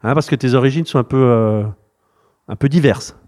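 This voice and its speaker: A man of ordinary middle pitch interviews students at a school. He talks in a loose, unscripted way, stopping and starting and filling gaps with hesitations. His questions come out relaxed and slightly rambling. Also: moderate pace. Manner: loose and hesitant, with frequent fillers and restarts. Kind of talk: informal interview.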